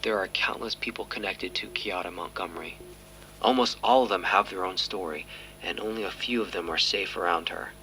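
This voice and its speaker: Man acting a low-voiced weary police detective, humming background. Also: brisk, bright, forceful tone, slight background noise, poor audio. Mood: reflective, weary. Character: male police detective, skeptical, weary, quietly pressing. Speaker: actor playing a police detective. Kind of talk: audio drama acting, interrogation and confessional narration.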